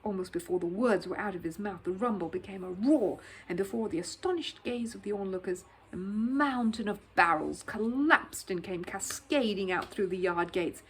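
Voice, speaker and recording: A woman reading a children's story as an expressive, dramatic narrator. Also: mid-range voice, moderate pace, hardly any pauses, a little hiss or hum, poor audio. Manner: animated, dramatic.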